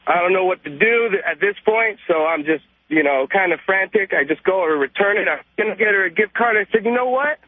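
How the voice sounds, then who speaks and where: A man's voice: sits high, lively intonation, brisk, hardly any pauses, no echo. A man calling a radio show, telling a story in a casual, slangy, bragging way. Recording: muffled phone call to radio.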